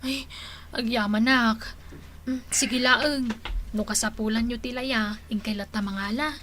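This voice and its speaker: A caring, exasperated grown daughter in a radio drama. Her very high voice coaxes and scolds with an Ilocano accent, on a slightly noisy recording. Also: fairly even intonation, measured pace, a bit bright. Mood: friendly. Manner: coaxing and chiding.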